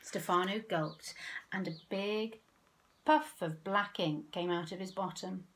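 Female storyteller, middle-aged, expressive picture-book read for young children. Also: fairly low pitch, slow, low-quality recording. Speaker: children's author reading own picture book. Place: outdoors in a back garden.

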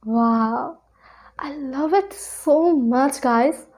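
A woman's voice: high-pitched, drawn-out words, darkish tone. A woman in her twenties with an Indian accent, giving casual reaction commentary as a video host. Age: 20s.

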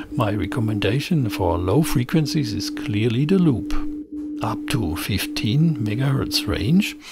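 A middle-aged man reading a scripted technical tutorial in a measured, explanatory way into a close microphone. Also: mid-range voice, vocal fry, a little mellow, a little hiss or hum. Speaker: presenter of an electronics tutorial channel. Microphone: close voice-over microphone.

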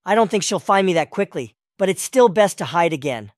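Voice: medium pitch, expressive, a little fast, no room sound, clean studio sound, close to an everyday voice. A performer voicing an anxious, pleading young boy in a cartoon comic dub, nervous and urgent. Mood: worried. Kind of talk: comic dub character acting.

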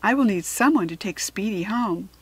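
A woman's voice: medium pitch, lively intonation, normal speed, slightly rough, no echo. Lady playing a storybook teacher lady giving instructions, firm and composed, american. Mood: announcing. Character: firm, orderly woman schoolteacher.

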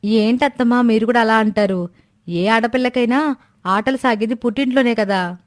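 A woman's voice: on the high side, moderate pace, lightly acted. A woman voicing a dutiful, outspoken young daughter-in-law in a family story, earnest and insistent. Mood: reassuring, concerned.

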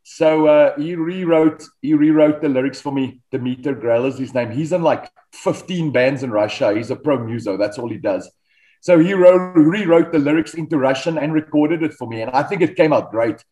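A man's voice: a little high, normal speed, poor audio. South African man talking through a video call. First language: Afrikaans. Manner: relaxed storytelling, frequent ums and restarts. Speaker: metal band vocalist, guest. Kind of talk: casual video-call interview. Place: home studio with band posters. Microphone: desk microphone on video call.

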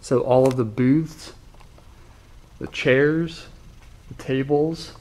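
Slow, measured man listing items. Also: medium pitch, steady tone, a little mellow. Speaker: auction walkthrough narrator. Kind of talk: slow walkthrough narration. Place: empty restaurant and kitchen.

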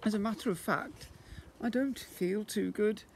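A man's voice: high-pitched, unhurried, creaky, darkish tone, voice pushed up. A worn-out, put-upon mother, played by a man reading a storybook aloud. Her voice sits raised above his own and drags with weariness, with a faint room hum behind. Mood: weary. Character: weary, unwell mother.

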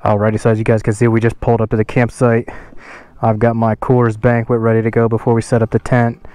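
A man's voice: mid-range voice, monotone, fast talker, darkish tone, no echo. A man casually narrating a motorcycle camping trip, chatting to viewers in a laid-back way outdoors. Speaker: motorcycle vlogger. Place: outdoors, riding a motorcycle and at a campsite.

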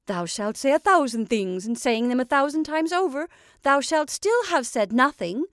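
A domineering old witch from a storybook, as a woman narrator puts her on. She declaims in archaic phrases with high, emphatic swoops, scornful and coaxing at once. The sound is dry and close. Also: expressive, moderate pace, a bit bright, no room sound.

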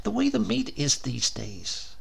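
A man's voice: sits high, wildly swooping pitch, unhurried, creaky, breathy edge, voice pushed up, heavily acted. A man voicing a tired, fretful housewife in a dramatised story reading, complaining in a resigned way.

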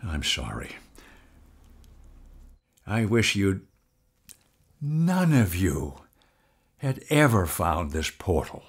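Husky, agitated Pete, acted by a woman, wildly swinging pitch. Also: big pitch swings, extremely slow, pauses often, full character voice. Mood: tense, anguished. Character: agitated armed partner named Pete, desperate and torn. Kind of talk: dramatic audio-drama acting.

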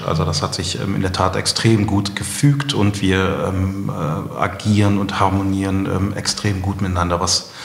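A middle-aged man answering conversationally as an interview guest, often pausing to search for words. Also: low-pitched, flat delivery, creaky. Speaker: company co-founder, interview guest. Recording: echoey room with long reverb.

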